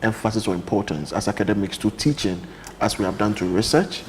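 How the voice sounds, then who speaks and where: A man's voice: lowish voice, brisk, a little hiss or hum. A man hosting an interview, asking questions and giving brief affirming interjections. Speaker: interview host.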